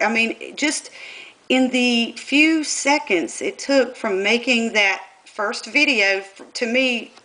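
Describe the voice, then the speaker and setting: Southern lady vlogging at her computer, bright sharp voice, arguing her point hard. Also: a little high, low-quality recording. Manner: insistent and argumentative, talking while filming a screen. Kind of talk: casual monologue. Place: indoors at a computer.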